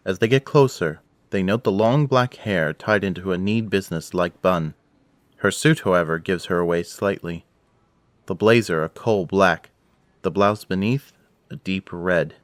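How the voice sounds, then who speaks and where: A man's voice: sits low, measured pace, dry recording. A man giving scripted, dramatic narration for an action audio drama. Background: prominent music.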